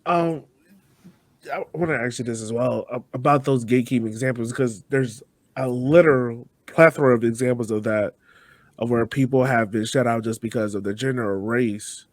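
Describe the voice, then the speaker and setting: A man's voice: mid-range voice, normal speed, no room sound. A man hosting a podcast, asking interview questions in a casual conversational way. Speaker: podcast host.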